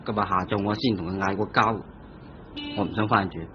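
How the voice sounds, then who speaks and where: A man's voice: fairly low pitch, steady tone, fast talker, music in the background, lightly acted. An actor with a Hong Kong Cantonese accent playing a restless married painter and art teacher in an old radio drama, sighing and grumbling about his wife. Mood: gloomy. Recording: dull old radio broadcast with faint hiss.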